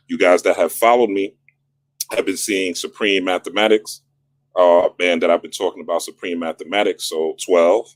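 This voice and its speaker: A man with a deep voice hosting a spiritual talk, casual but insistent, stacking repeated phrases for emphasis. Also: dry recording. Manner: repetitive, preacher-like emphasis. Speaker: spiritual astrology channel host. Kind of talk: casual motivational monologue.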